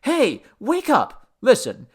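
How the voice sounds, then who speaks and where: A man voicing an excitable, exultant young scholar in a story, eager and babbling. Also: on the high side, a little slow, pitched above the performer's own voice, exaggerated voice. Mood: baffled urgency. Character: excitable, exultant male archaeologist in love.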